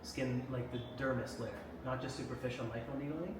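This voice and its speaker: A man's voice: mid-range voice, steady tone. A man who is a doctor, explaining treatments in casual chat, tagging his points with "right?". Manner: fast, explains with repeated "right?" tags. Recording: echoey room, far from mic, noisy.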